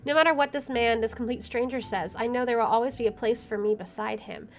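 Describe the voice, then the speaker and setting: A woman with a fairly high voice hosts a game playthrough. She reads narrative text aloud and slips into casual commentary, with some music behind her. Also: fast. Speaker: gaming video host.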